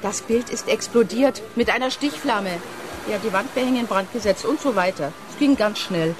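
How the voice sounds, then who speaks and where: A woman playing a sharp-tongued, sarcastic gallery owner in a sci-fi radio drama, biting and emphatic. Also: medium pitch, moderate pace, music in the background, natural voice. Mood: irritated.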